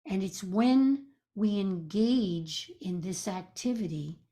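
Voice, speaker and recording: A woman leading a guided meditation as a teacher, speaking in a gentle, soothing, measured way with pauses. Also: mid-range voice, lively intonation.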